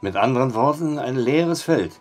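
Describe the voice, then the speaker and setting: A man's voice: medium pitch, lively intonation, moderate pace, a little mellow, soft background music, lightly acted. An actor playing a dry, skeptical male barkeeper in an audio drama. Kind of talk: German audio drama acting.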